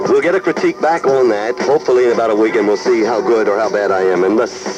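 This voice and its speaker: A man's voice: lowish voice, fast talker, loud music behind the voice. Male radio host on lo-fi tape. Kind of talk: casual talk to listeners on air. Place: radio studio. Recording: dull lo-fi radio aircheck tape.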